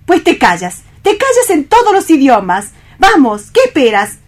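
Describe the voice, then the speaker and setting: A woman's voice: high-pitched, wildly swooping pitch, moderate pace. An actress with a Spanish accent from Spain playing a bossy, flamboyant, seductive woman in a radio play reading. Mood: impatient, commanding. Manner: imperious, theatrical.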